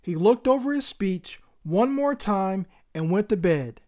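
A woman's voice: medium pitch, expressive, unhurried, dark tone. A woman hosting a story time, reading expressively as the narrator of a children's book.

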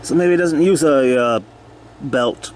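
Male hobbyist repairer, mid-pitched, plain-spoken and unscripted, talking to himself on handheld camera audio with faint hum behind. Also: a little slow, a little mellow. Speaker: hobbyist repairer narrating own video. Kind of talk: casual off-the-cuff repair commentary. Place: cluttered indoor workshop.

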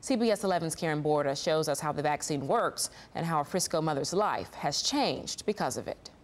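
A woman's voice: sits low, animated, a little fast. A woman in her thirties with an American accent, anchoring scripted TV news in a studio. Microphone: lapel mic.

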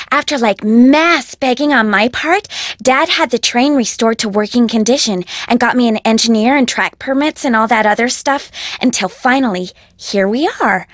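A woman's voice: sits high, no echo, clean studio sound. A woman voicing a bubbly, bossy, spoiled rich young heiress in a video game, with an American accent and perky, dramatic, casual phrasing. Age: young adult.

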